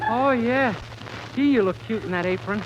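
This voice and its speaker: A man's voice: high-pitched, moderate pace, highs cut off, close to an everyday voice. A man playing a naive, silly young man with an innocent, earnest manner in 1940s radio comedy. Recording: very noisy old radio recording.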